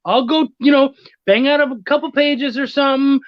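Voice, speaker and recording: A middle-aged man with a distinctly high voice chats as a podcast guest. He is chummy and sweary, telling things casually. The sound is dry and a little lo-fi, as on an online call. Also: steady tone, moderate pace, no room sound. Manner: chummy, profane. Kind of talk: casual storytelling chat.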